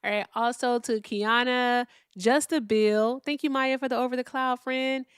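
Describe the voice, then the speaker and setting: A woman's voice: fairly high pitch, fairly even intonation, normal speed, fairly bright tone, clean tone, no echo. Tired woman hosting a live stream, mouthy and mocking, dry close sound. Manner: brash, mocking trash talk. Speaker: live-stream debate host. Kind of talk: casual live-stream chat.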